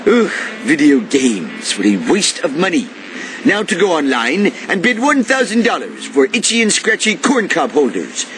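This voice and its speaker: A man's voice: fairly high pitch, very animated, moderate pace, fairly bright tone, a little hiss or hum. A man voicing a pompous, sardonic comic-book collector in a cartoon game. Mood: smug, disdainful. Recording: music behind the voice.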